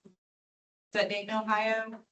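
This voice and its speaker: A woman running a committee meeting in an informal, chatty way, introducing speakers and tossing out side questions. Her voice sits in a middle pitch, with a faint hum of room ambience behind it. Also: monotone, unhurried, fairly bright tone, highs cut off. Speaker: committee chair. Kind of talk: chairing a meeting.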